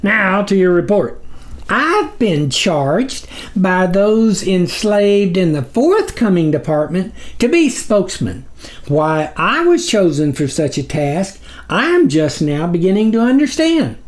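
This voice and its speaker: A man's voice: sits high, big pitch swings, takes it slowly, exaggerated voice. Man doing a grovelling, long-winded demon underling. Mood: formal, earnest. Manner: obsequious, wordy, pedantic.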